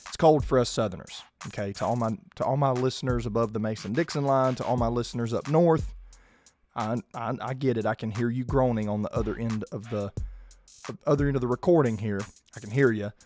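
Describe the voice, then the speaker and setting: A man, hoarse and losing his voice, hosting a casual sports podcast and keeping his volume down. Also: medium pitch, brisk, darkish tone, faint music behind. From South Georgia, US. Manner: restrained, holding his volume down. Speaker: podcast host.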